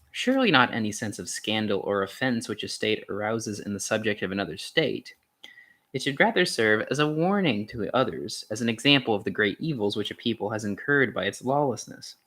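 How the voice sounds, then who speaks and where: Man reading aloud, halting delivery. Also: on the low side, sing-song, fairly bright tone. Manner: halting, stumbles and corrects himself. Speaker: amateur reader of a philosophy essay. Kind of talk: reading aloud from an essay, with casual asides.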